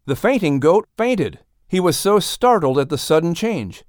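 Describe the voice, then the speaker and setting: An expressive woman reads a children's storybook in a close, dry recording. The delivery is animated, with a pitch that moves freely from phrase to phrase. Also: normal speed, no echo. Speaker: audiobook narrator. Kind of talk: scripted children's storybook narration.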